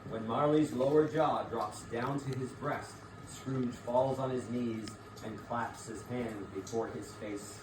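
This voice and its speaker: A man narrates a scripted tale in a mid-range voice, reading it as theatre. He sounds distant from the microphone, in an echoey room with clear background noise. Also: mid-range voice, fairly even intonation, slow, few pauses.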